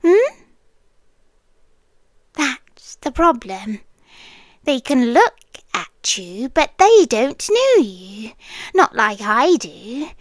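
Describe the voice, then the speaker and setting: A high, intimate British female voice, a woman acting as an obsessive landlady girlfriend in ASMR roleplay. She speaks extremely slowly, sweet yet controlling, often sinking into a breathy whisper. The sound is dry and close. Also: very animated, no room sound, heavily acted. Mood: possessive, knowing. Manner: slow, deliberate, coaxing and commanding.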